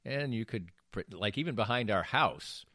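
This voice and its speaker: A man's voice: fairly low pitch, expressive, moderate pace, sharp tone, no echo, studio quality. An older man hosting a casual podcast, telling anecdotes in a rambling way and restarting his sentences mid-thought. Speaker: podcast host.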